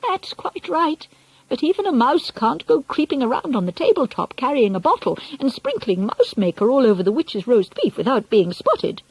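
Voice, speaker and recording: A woman voicing a feisty, doting old grandmother in an audiobook story, speaking deliberately and with emphasis. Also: high voice, sing-song, darkish tone, slightly rough, no echo, pitched above the performer's own voice, full character voice, poor audio. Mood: doting, scheming.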